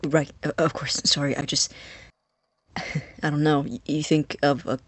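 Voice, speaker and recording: Husky, stammering young scientist. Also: male voice, fairly high pitch, level tone, dry recording. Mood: fascinated, hesitant. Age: young adult. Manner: stammering, halting, nervous. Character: nervous, obsessive young male student scientist, awkwardly eager. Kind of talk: naturalistic audio drama acting.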